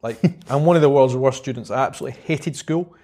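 A young Scottish man with an Edinburgh accent talks as a guest on an interview show. His voice sits at an ordinary middle pitch, neither high nor low. He thinks aloud, pausing on "um" and circling back to restart his sentences, with a modest, friendly ease. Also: a little mellow. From Scotland. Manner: reflective, halting, many ums and restarts. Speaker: guest, vlogger. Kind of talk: casual interview chat.

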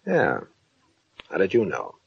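A man's voice: deep voice, wildly swooping pitch, measured pace, gravelly, husky, heavily acted. An actor playing a stiff, uneasy married businessman in trouble, speaking with reserve and reluctance in the manner of 1940s radio drama. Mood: awkward. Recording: dry, muffled vintage radio recording.

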